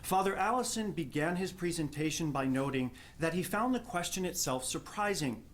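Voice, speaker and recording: A man's voice: on the high side, expressive, normal speed, a little hiss or hum. New Jersey man giving a formal speech. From Jersey City, New Jersey, USA. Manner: articulate, formal, wry. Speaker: professor of theology, respondent. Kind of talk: prepared speech read to an audience. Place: live public talk before an audience.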